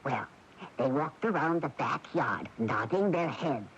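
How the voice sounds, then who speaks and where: An actress playing a naive, fretful elderly sister with a prim, fluttery way of talking, in a radio drama. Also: female voice, very deep voice, animated, a little slow. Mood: mild, fluttery. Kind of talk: 1980s radio drama acting. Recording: dull, hissy 1980s AM radio recording.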